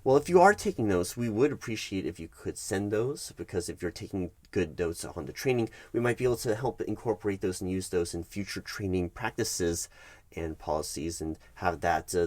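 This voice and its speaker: A man doing a soft-spoken ASMR roleplay as a polite, evasive HR manager, speaking in measured, hesitant, formal office phrases. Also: low-pitched, dry recording. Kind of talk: soft-spoken ASMR roleplay, one-sided workplace meeting.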